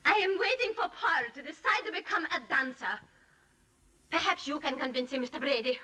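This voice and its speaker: Imperious ballerina, very high voice, lively swoops, scolding, vintage radio drama. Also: female voice, brisk, recorded from a distance. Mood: scornful. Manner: haughty, scolding, theatrical. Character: imperious, sharp-tongued woman ballet star, quick to anger. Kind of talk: 1940s radio drama acting. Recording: vintage 1940s radio, off-mic.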